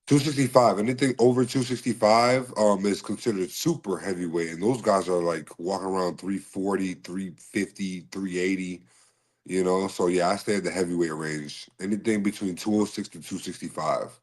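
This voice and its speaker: A man from Massachusetts answering interview questions in a polite, easygoing way, often saying "yes sir" and pausing on fillers. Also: deep voice, fairly even intonation. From Massachusetts, USA. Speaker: podcast guest, slap fighter and bodyguard.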